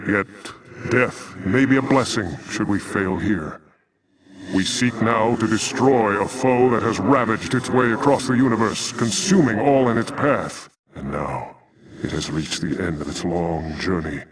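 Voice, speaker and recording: An actor playing a noble, solemn alien warrior commander in a video game, speaking in formal, archaic, declamatory oratory. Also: male voice, fairly low pitch. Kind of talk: grand heroic game cutscene oratory.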